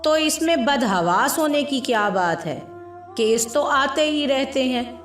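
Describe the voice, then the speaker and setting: An arrogant, short-tempered doctor from a moral tale , acted out by a woman narrator. He scolds curtly and scornfully, with slow delivery in an echoey room. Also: high voice, expressive. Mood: disapproving. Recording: roomy echo with music behind.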